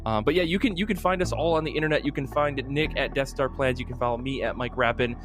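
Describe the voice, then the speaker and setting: A man hosts a casual podcast, talking quickly and enthusiastically. His pitch is average for a man, and the style is loose and chatty, with faint music behind the voice. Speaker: podcast host.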